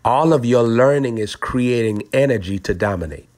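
A man preaching in a prophetic teaching style, deliberate and aphoristic, repeating phrases for emphasis. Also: medium pitch, lively intonation, darkish tone. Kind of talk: prophetic teaching over a phone call.

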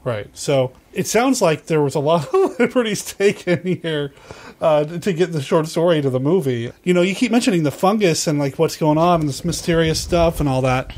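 Higher-pitched man hosting a casual podcast. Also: animated, normal speed, darkish tone. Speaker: podcast host. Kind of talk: casual conversational interviewing.

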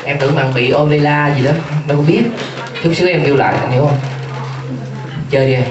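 Young man, stage host, fast joking patter, muffled roomy live sound. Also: a little high, distant mic, crowd noise. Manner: joking, quick, teasing banter. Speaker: emcee of a cafe singing contest. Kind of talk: lively stage hosting. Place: small cafe stage indoors. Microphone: handheld mic, heard from far.

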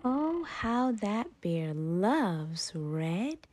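A woman reading a children's picture book aloud expressively, dramatically stretching words and dropping into breathy whispers. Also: medium pitch, big pitch swings, extremely slow, darkish tone, a little hiss or hum. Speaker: storytime reader and channel host.

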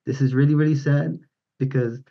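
A man's voice: mid-range voice, fairly even intonation, brisk, vocal fry, slightly rough. A man in his thirties, a guest on a video call, talking earnestly in a rambling way with many restarts. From born in the United States, raised in India, Dubai and Pakistan. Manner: rambling, earnest, frequent restarts, gestures with hands. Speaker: guest, mind power teacher. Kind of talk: casual video-call interview. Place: home room with bright yellow walls and posters, indoors. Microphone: webcam on video call. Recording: dull lo-fi video call audio.

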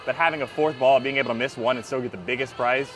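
Fast-talking upbeat man at a carnival booth. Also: medium pitch, low-quality recording. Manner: upbeat, chatty, excitable while playing. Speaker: theme park vlogger. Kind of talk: casual vlog chat. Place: outdoor carnival game booth at a theme park. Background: background music.

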